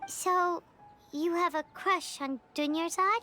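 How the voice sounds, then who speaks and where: A woman voicing a small, childlike fairy companion in a video game, squeaky and perky, curious and a bit bossy. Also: slow, exaggerated voice. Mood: pondering. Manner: perky, expressive. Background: music.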